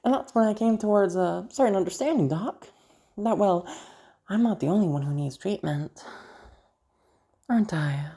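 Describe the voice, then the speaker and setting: A woman playing a crazy, seductive female patient in an audio roleplay, teasing and menacing, with halting, drawn-out phrases that drop toward a hush. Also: medium pitch, slightly rough. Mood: brash, boastful. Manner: teasing, halting, drawn-out words with sudden hushed drops. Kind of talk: audio roleplay monologue addressed to listener.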